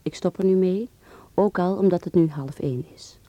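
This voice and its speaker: A woman's voice: fairly low pitch, moderate pace, no echo, muffled recording, close to an everyday voice. An actress playing a woman with a Dutch accent who reads out an intimate letter in a radio drama. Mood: subdued, confiding.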